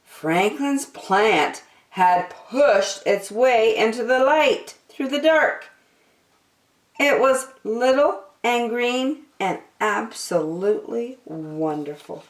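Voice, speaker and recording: A woman's voice: a little high, takes it slowly, roomy sound. A woman reading a storybook expressively as a storyteller for children.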